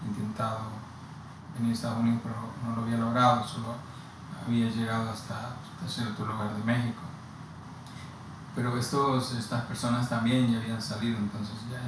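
Honduran man in a very echoey room. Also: medium pitch. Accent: Honduran Spanish. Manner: slow, halting, with frequent restarts and repeated words. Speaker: migrant giving testimony. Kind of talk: personal testimony. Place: plain indoor room against a bare wall. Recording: very echoey room, distant mic, audible hiss.

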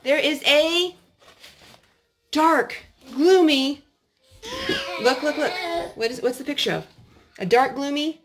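A woman speaking as a mother leading storytime at home for a small child, in an expressive, sing-song, encouraging way. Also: high voice, takes it slowly, pauses often, background noise. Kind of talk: child-directed storytelling and chat. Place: carpeted room and wood-floored room at home.

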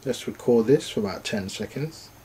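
A man's voice: mid-range voice, lively intonation, normal speed, dark tone. A man giving a casual, unscripted product demonstration in a hesitant, mumbling way. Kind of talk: casual unscripted tutorial narration. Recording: faint background noise.

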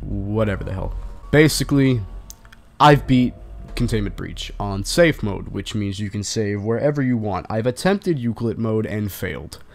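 A young man commentating his own horror game run, stuffy from a flu, swearing often and breaking into angry shouts. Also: on the low side. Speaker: gaming channel host. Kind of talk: casual gaming commentary.

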